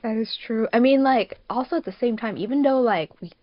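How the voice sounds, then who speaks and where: A woman's voice: on the high side, fairly quick, dry recording, lo-fi sound. A woman chatting casually as a panelist on a group podcast. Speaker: podcast panelist, fandub production crew member.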